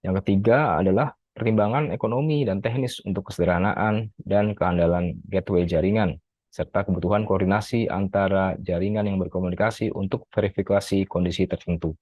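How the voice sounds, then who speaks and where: A man's voice: low-pitched, fairly quick, no echo, lo-fi sound. A male graduate student steadily reading a prepared academic article review, with occasional self-corrections. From Indonesia.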